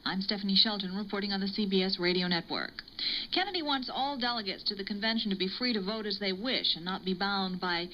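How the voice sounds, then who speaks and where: A woman reporter reading a network radio news bulletin in a formal, crisp manner. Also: mid-range voice, fairly quick, muffled recording. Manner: crisp, formal newsreader delivery. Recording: old radio broadcast played through a speaker.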